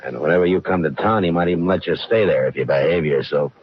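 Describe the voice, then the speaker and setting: Man playing a very deep-voiced frontier marshal. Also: fast talker, music in the background, lightly acted. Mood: wry, assured. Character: steady, dry-humored frontier US marshal. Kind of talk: 1950s Western radio drama acting. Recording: noisy, muffled old radio recording.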